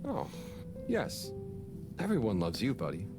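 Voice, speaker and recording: A man's voice: lowish voice, wildly swooping pitch. A man voicing a shy, kind young man in a video game, speaking hesitantly. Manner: hesitant, halting. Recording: game audio with loud music.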